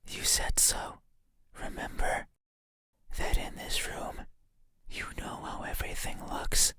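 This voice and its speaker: An actor voicing a creepy, intimate bedside monster in a horror game, pleading and menacing in a breathy, insistent whisper. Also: pushed and bright. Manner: slow, insistent, eerily deliberate.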